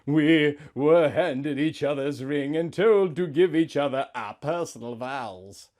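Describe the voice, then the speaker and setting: A man in his thirties reading a story aloud in a melodramatic, mock-sentimental comic style, swinging between hushed and loud. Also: fairly high pitch, slow. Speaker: comic host reading out fan fiction.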